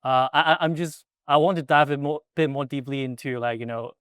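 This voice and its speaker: Host guy, mid pitch, lots of um and like, super dry room. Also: fairly quick, a bit bright, clean tone, no room sound. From Hong Kong. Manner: hesitant, lots of fillers and restarts while framing questions. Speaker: podcast host. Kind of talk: interview questions.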